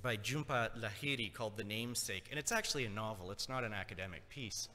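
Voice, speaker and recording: A man's voice: on the low side, fast, bright, forceful tone. A man from Nova Scotia whose first language is French, giving a chatty conference lecture and checking in with the audience. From Nova Scotia, Canada. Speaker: conference speaker. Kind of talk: conversational lecture. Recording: long reverb of a hall.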